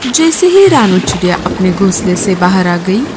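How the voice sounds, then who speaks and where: A woman's voice: lowish voice, sing-song, normal speed. A woman narrating a scripted children's story as a storyteller. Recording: clear rain noise behind voice.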